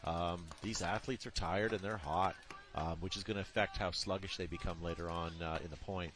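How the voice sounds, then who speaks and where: A man's voice: deep voice, fairly even intonation, a little fast, fairly bright tone. A man commenting live on a paintball match from a booth, chatty and joking with his co-host.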